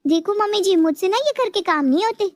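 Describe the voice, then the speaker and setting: A woman playing a sly, lazy younger daughter-in-law in an animated moral story, wheedling and petulant. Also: very high voice, fairly quick, no room sound, studio quality. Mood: petulant, defiant.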